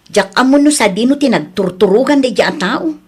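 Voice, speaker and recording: A woman's voice: a little high, lively intonation, moderate pace, husky. A woman playing a long-suffering working wife of a drinking husband in an audio drama, weary and reproachful. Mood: weary, apologetic. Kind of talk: Ilocano audio drama dialogue.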